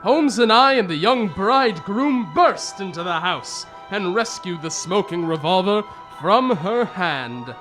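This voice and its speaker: A man playing a genial, expressive old-school gentleman. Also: high voice, measured pace, faint music behind. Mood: reminiscing. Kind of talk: radio drama acting and narration.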